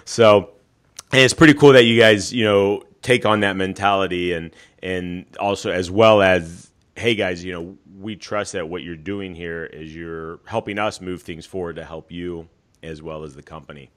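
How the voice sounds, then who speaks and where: Low-pitched male host, agreeable, lots of "uh" and "you know". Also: steady tone, moderate pace, a bit bright. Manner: affirming, with frequent fillers. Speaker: podcast host. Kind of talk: conversational interview.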